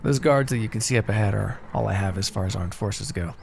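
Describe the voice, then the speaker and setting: A man playing a kindly, encouraging necromancer in an ASMR fantasy roleplay, speaking in a gentle, reassuring, conversational way. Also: fairly low pitch, brisk, vocal fry, slight background noise, voice pushed down. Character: kindly, encouraging necromancer master, earnest and casual.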